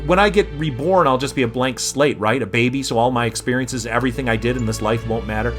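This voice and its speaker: A man's voice: medium pitch, quick pace, a bit bright, natural voice. Guy reading as confused dead family man asking questions in a short story, music behind. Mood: puzzled, worried. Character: newly dead husband and father, puzzled, questioning. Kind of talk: short story read aloud.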